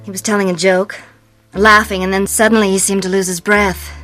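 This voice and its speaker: An actress playing a cool young femme fatale in a noir radio drama, understated and sly. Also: female voice, medium pitch, little pitch movement, fairly quick, fairly bright tone, natural voice, rough recording. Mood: shaken. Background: faint music.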